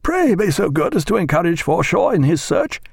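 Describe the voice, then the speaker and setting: A man narrating acts out a fussing, hospitable naval captain in a historical audiobook. He calls out loudly in a strongly raised pitch, hearty and eager to please. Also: very animated, normal speed, gravelly, slightly rough, dry recording, clean studio sound, full character voice. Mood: flustered, hospitable. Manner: loud, calling out, solicitous.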